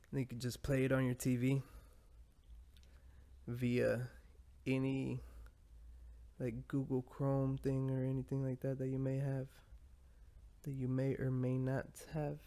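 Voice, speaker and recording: A man in a relaxed, rambling podcast monologue with a gravelly edge and plenty of creak at the bottom of his voice. He drifts from one tangent to the next, loose and profane, at a mid-range pitch. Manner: rambling, profane, laid-back. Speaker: podcast host.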